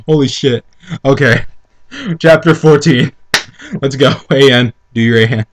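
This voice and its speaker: A man's voice: medium pitch, a little slow, slight background noise. A man co-hosting a comedic dramatic reading, reading aloud theatrically and breaking off into incredulous, sweary commentary. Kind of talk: mocking read-aloud with casual commentary.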